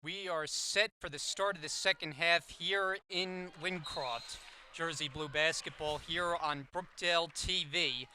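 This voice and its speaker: A man commentates live on basketball with a very bright, forceful, fairly high voice. He calls the play as it happens, with faint gym noise and bouncing balls behind him. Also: fairly even intonation, a little slow. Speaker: sports commentator. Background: basketball game ambience.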